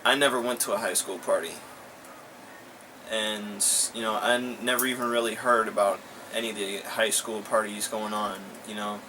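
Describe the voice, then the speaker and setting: A man's voice: lowish voice, steady tone, normal speed, noisy background. A young man ranting bluntly and sarcastically in a casual chat. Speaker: co-host.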